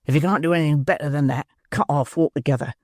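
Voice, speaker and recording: A brutal, sickly housebreaker growls his orders and curses in short, gruff bursts, as a male narrator plays him. His speech drags slowly. The recording is dry and close. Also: fairly high pitch, dry recording, close to an everyday voice. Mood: growling. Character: sick, brutal middle-aged male housebreaker, savage temper.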